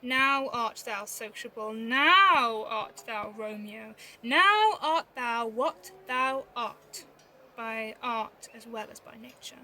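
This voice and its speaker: A woman's voice: a little high, expressive, slow, a little hiss or hum, heavily acted. A woman playing a witty, bawdy, teasing young Mercutio in a theatrical Shakespeare audio drama, recorded on a phone at home. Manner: theatrical, teasing, swooping between loud flourishes and mutters.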